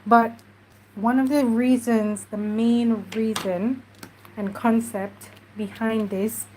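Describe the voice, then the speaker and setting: A woman's voice: fairly high pitch, flat delivery, dark tone, slight background noise, low-quality recording. A woman with a stuffy nose, chatting casually through a craft tutorial while she works. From Jamaica. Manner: chatty, unhurried, talks while working. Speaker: craft journaling host.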